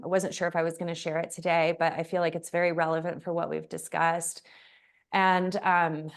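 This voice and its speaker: Gentle woman host, halting with pauses. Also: on the low side. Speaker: podcast host. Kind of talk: conversational interview storytelling.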